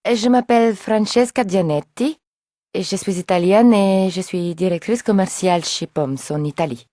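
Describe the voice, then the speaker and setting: A woman's voice: mid-range voice, moderate pace, mellow, dry recording, clean studio sound, lightly acted. A woman acting in scripted language-course dialogue as a courteous, polite businesswoman. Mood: introducing herself. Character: courteous businesswoman and airline passenger.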